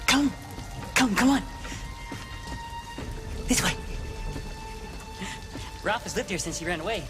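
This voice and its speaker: A man's voice: high voice, expressive, slow, over music. An actor playing a skittish, unstable runaway male android in a video game, speaking in a jittery, fearful way and calling himself by name. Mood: eager, welcoming. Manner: refers to self in third person, jittery. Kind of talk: video game dramatic acting.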